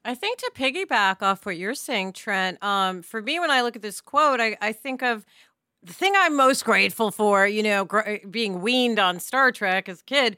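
A woman's voice: mid-range voice, animated, normal speed, bright, forceful tone, no echo, clean studio sound. A woman talking casually and emphatically as a podcast panelist, sharing reflective opinions.

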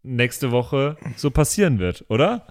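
A man's voice: mid-range voice, lively intonation, unhurried. A man chatting casually and informally as a member of a podcast cast. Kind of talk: casual chat.

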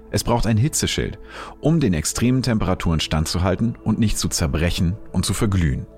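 A man narrates scripted science material in a clear, explanatory way. His voice is very low. Faint music plays under him. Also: a little mellow.

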